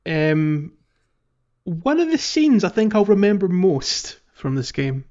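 A man's voice: high-pitched, sing-song, slow pace, dark tone. A man co-hosting a casual video game podcast, chatting informally. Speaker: podcast co-host. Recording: slightly dull lo-fi podcast audio.

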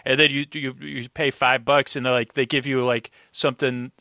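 Bright, rambling male podcast guest. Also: medium pitch, flat delivery, dry recording, studio quality. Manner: rambling, halting, self-interrupting. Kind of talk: casual recap podcast chat. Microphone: close, dry podcast microphone.

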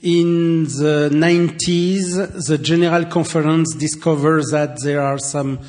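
A man with a strong French accent addresses a congregation at a very unhurried pace. His voice sits a little high for a man. His English is simple and halting, delivered in short phrases that leave room for an interpreter. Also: fairly even intonation, darkish tone. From France. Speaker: visiting church speaker and ministry director.

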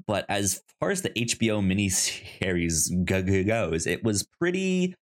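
A man co-hosting a casual film discussion, speaking haltingly and often repeating syllables and words in a stutter. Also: on the low side, sing-song. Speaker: podcast co-host.

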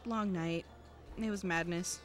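A woman's voice: on the low side, fairly even intonation, a little slow, faint music behind, close to an everyday voice. A woman acting as an anxious, guarded teenage student in a fiction podcast, awkward and dryly evasive. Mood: flustered. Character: anxious teenage student girl who records cassettes, guarded. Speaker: voice actor.

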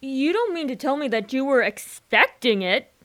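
Lady playing a posh young chap in a radio play, all flustered and excitable, really up and down. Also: sits high, moderate pace. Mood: agitated. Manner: flustered, plummy old-fashioned slang. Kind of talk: radio drama acting.

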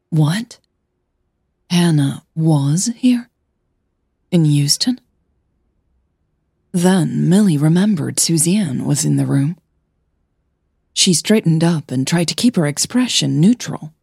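A woman narrating scripted fiction as a podcast host, in a measured, deliberate way. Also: low-pitched, lively intonation, gravelly, darkish tone, husky, no room sound, clean studio sound.

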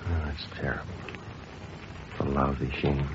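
Very deep, deadpan police sergeant. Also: male voice, moderate pace, a little hiss or hum. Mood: stunned, grieving. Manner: deadpan, understated, terse. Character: stoic male police sergeant, grieving his longtime partner. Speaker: actor and narrator in a radio police drama. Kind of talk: 1950s radio acting, clipped scripted narration. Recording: muffled old radio broadcast, music behind.

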